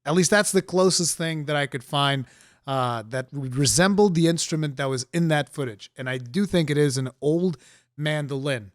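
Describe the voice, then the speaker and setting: Animated Portuguese-born man, dry close mic. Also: on the high side, normal speed, no echo. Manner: animated storytelling, emphatic asides. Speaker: podcast co-host. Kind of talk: casual chat.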